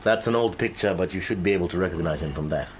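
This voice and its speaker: A man's voice: sits low, fast talker, a little hiss or hum, natural voice. An actor playing a reserved engineer and father searching for his son in a radio drama, speaking in a terse, formal, guarded way. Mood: anxious.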